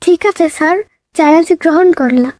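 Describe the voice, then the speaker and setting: A woman's voice: high voice, fairly quick, darkish tone, no echo, lightly acted. A woman playing a confident young girl detective who leads her team in a cartoon drama.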